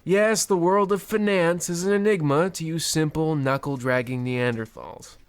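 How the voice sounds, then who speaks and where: A smug, self-absorbed banker played by a comic actor in a radio comedy, recorded close and dry. The delivery is pompous and patronizing, at a middling pitch, dripping with sarcasm and callous disregard. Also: moderate pace, no echo, natural voice. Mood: pompous, condescending. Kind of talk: old-time radio comedy acting.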